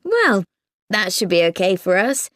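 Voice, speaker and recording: A woman's voice: medium pitch, sing-song, measured pace, fairly bright tone, no echo, studio quality. A woman acting a chatty, inquisitive, friendly mum asking for advice in a scripted exam dialogue. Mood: curious, interested.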